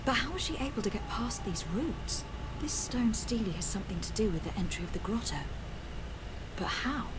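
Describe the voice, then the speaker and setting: A woman's voice: on the high side, expressive, normal speed, very noisy, natural voice. An actress playing a polite, determined woman adventurer in a video game, speaking in a formal, articulate way. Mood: musing. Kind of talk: video game character dialogue.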